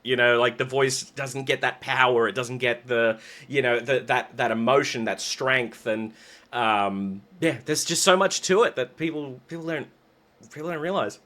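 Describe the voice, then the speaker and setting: A man hosting a casual interview, eager and agreeable, talking in quick bursts. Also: medium pitch, pushed and bright. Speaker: host.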